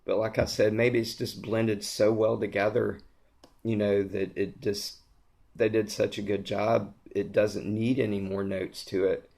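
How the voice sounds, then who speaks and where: A man with a hoarse voice, losing it to a sore throat, giving a rambling, gushing fragrance review in a casual vlog, with lots of ums and restarts. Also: lowish voice, flat delivery, a little slow, a little mellow.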